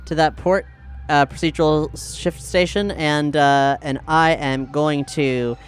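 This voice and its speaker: A man chatting casually as a tabletop game player, thinking aloud in a halting way. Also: a little high, steady tone. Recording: clear background noise.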